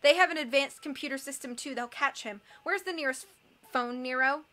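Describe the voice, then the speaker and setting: A woman's voice: high voice, fairly quick, pushed and bright. A woman voicing a fussy, ineffectual middle-aged man in an audiobook, earnest and stuffy. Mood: flustered reasoning. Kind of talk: audiobook character acting.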